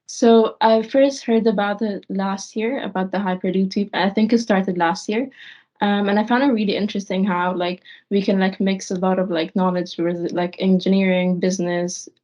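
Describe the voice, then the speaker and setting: A woman's voice: mid-range voice, steady tone, normal speed, darkish tone. A young woman from Egypt, a student guest who rambles with lots of "like" fillers. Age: young adult. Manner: rambling, heavy use of "like". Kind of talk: casual podcast interview. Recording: dull lo-fi audio.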